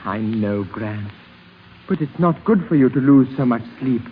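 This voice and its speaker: A gentle young grandson, speaks in a husky, hesitant way with earnest respect. The old radio drama sound is dull and washed in reverb. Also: male voice, medium pitch, expressive, unhurried. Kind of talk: 1950s radio drama acting.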